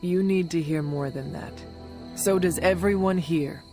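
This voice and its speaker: A woman acting a resolute young hero in a video game cutscene, speaking in a solemn, measured, formal way. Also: on the low side, mellow, soft background music. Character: resolute young hero leading a supernatural team.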